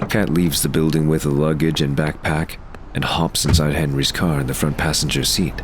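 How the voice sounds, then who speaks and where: Super deep guy narrating an audio drama, bit of background noise. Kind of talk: scripted narration reading scene directions.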